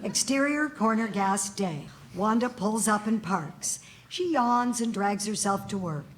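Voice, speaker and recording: A woman's voice: mid-range voice, animated, unhurried, noisy background. A middle-aged woman reading scripted stage directions as a narrator at a live table read. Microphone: stage microphone on stand.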